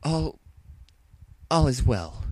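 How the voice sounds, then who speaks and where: Man as regal female pony, storybook, high swooping voice, frazzled, theatrical, archaic. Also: very animated, drawn-out words, slightly rough, no echo, heavily acted. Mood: strained. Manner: archaic, theatrical, grandly despairing.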